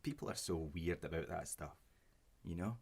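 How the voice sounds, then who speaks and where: Actor as low-voiced, awkward grieving widower. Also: male voice, normal speed, creaky, a little mellow, breathy edge, full character voice. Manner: hesitant, stammering, self-justifying. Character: awkward, defensive grieving widower on a first date. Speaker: actor in a sci-fi audio drama. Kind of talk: naturalistic audio drama dialogue.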